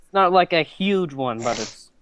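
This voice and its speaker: A man playing a tabletop game, chatting casually in a halting way and repeating his words. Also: on the high side, lively intonation, a bit bright, clean tone, no room sound.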